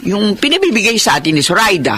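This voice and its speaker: A wise, motherly old grandmother in a Filipino radio drama. Her delivery swings widely in pitch, over clearly noisy audio. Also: quick pace. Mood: welcoming. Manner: animated, motherly.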